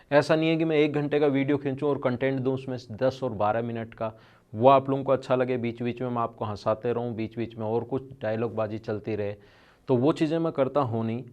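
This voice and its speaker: A male maths teacher with a strained throat, speaking earnestly and directly to his students in an online video lesson. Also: medium pitch.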